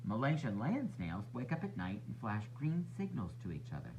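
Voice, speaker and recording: Very animated man reading to young children. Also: mid-range voice, normal speed, highs cut off, slight background noise. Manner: expressive, instructive, talking to young children. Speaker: storybook reader, teacher. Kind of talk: children's read-aloud narration.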